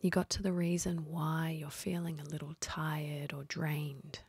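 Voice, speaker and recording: Woman doing guided meditation, low husky voice w some creak, slow n soothing. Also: little pitch movement, darkish tone, no echo. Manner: slow, soothing, with long pauses.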